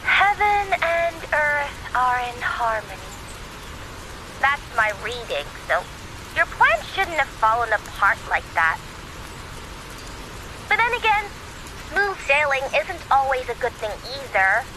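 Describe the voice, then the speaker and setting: A woman voicing a perky, quirky young reader of omens in a video game, playful, breezy and expressive. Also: high-pitched, slow pace, long pauses, noisy background, exaggerated voice. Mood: musing, chatty.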